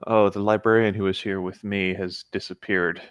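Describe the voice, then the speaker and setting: A man's voice: low-pitched, little pitch movement, moderate pace, smooth, dry recording, clean studio sound. A man co-hosting a casual read-aloud, chatting and joking in a rambling, self-amused way. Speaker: co-host reading video game creepypastas aloud.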